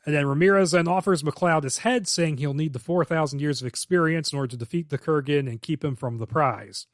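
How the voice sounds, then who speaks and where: A man's voice: fairly high pitch, fairly quick, no echo, studio quality. A man co-hosting a podcast, recapping a film script plot in detail and giving blunt, opinionated takes with casual swearing. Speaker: podcast co-host.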